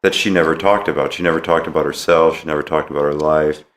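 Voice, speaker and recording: A man's voice: a bass voice, steady tone, fast. An American man hosting a casual interview podcast, speaking in a supportive, affirming way. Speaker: podcast host.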